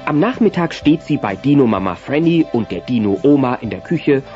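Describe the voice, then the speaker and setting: A man's voice: mid-range voice, animated, moderate pace, darkish tone, natural voice. A man narrating a German comedy audio play in a storytelling style. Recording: slightly muffled tape transfer. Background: music.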